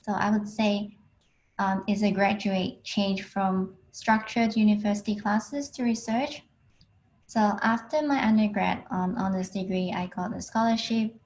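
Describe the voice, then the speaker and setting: A woman's voice: medium pitch, fairly even intonation, a little mellow, a little hiss or hum, low-quality recording. Woman PhD student on a video call. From Hong Kong. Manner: plain, unhurried, with frequent ums. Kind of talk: online alumni talk.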